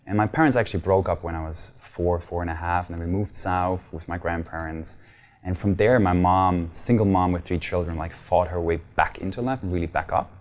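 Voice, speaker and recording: A man's voice: low-pitched, fairly quick, darkish tone. A man in his thirties from Germany with a German accent, talking earnestly as an interview guest with some hesitant fillers. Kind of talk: casual interview talk.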